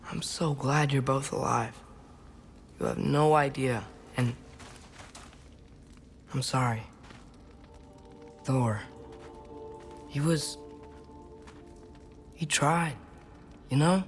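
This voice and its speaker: A young actor playing an earnest, gentle youth in a game, speaking softly and haltingly. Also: male voice, mid-range voice, soft background music. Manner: soft, halting.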